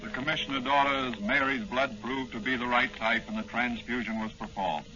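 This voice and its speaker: A man's voice: medium pitch, steady tone, normal speed, close to an everyday voice. A man delivering scripted dramatic narration in the style of a 1940s radio serial. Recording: muffled old-time radio recording. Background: music.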